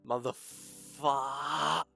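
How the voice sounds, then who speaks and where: A man's voice: medium pitch, steady tone, extremely slow, creaky, pushed and bright, breathy edge, soft background music. A man commentating casually on a game livestream, swearing often and grumbling in exasperation. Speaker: streamer.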